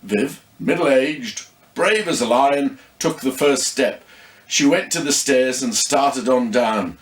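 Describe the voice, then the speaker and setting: A man reading a story expressively, with dramatic pauses. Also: medium pitch, reverberant. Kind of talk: scripted story narration.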